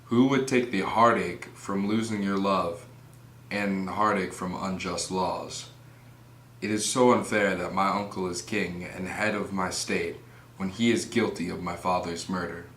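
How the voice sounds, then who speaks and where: Low-voiced male reader as troubled prince's inner voice, reflective scripted monologue, roomy with some noise. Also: fairly even intonation, unhurried, fairly bright tone. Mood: grave. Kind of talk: scripted plain-English paraphrase of a soliloquy. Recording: echoey room with background noise.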